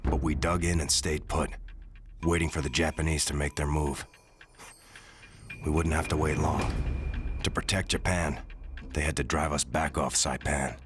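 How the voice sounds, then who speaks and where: An actor playing a Marine recounting his island campaign in measured, grave narration. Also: extremely low pitch, fairly even intonation. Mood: grave, reflective. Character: reflective American Marine veteran recounting the Pacific campaign. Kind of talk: scripted first-person war game narration. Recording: music under the narration.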